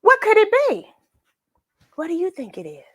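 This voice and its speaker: A woman's voice: very high-pitched, dry recording, studio quality. A woman hosting a children's read-aloud, narrating a storybook expressively with emphasis and questions to young listeners. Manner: expressive, emphatic, dramatic pauses.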